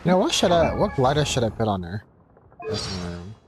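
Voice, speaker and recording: A man's voice: fairly low pitch, big pitch swings, moderate pace, noisy background. A man chatting casually as a game streamer, informal and thinking aloud as he plays. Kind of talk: casual livestream gameplay chat.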